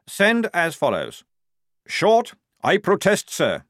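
A man's voice: sits high, huge pitch swings, slow, fairly bright tone, no echo, clean studio sound, exaggerated voice. A man reading a story, voicing a pompous, fussy young male lieutenant in a lofty, overly precise manner.

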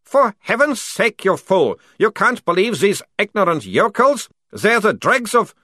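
A man's voice: sits high, big pitch swings, a little slow, bright, forceful tone, dry recording, clean studio sound, pitched above the performer's own voice, exaggerated voice. A man voicing a blustering, haughty, bullying cavalry captain in a storybook, sneering and snapping. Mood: outraged.

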